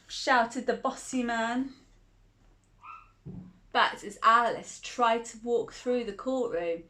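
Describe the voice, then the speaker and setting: A woman's voice: a little high, fairly bright tone. A woman reading a children's picture book aloud, chuckling often and asking the listener questions. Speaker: children's storyteller.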